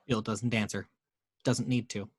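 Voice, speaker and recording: A man's voice: fairly low pitch, steady tone, measured pace, husky, no echo. A man narrating the action lines of a screenplay at a home table read, reading steadily with small stumbles on a close microphone.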